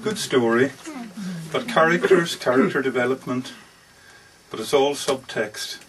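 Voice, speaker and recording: A man's voice: medium pitch, unhurried, a bit bright, slight background noise. A man speaking as an author informally introducing his stories at a live reading, hesitant and self-deprecating. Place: bookshop book launch. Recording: distant mic at a live event.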